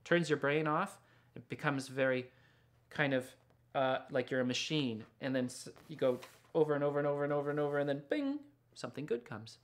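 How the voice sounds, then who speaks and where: A man's voice: fairly high pitch, fairly quick. A man, casually showing and demonstrating his work as an illustrator, musing to himself as he goes. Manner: musing, talks to himself while working. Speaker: illustrator and picture book author. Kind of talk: casual show-and-tell and drawing demonstration. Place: indoors in a small studio with books and records.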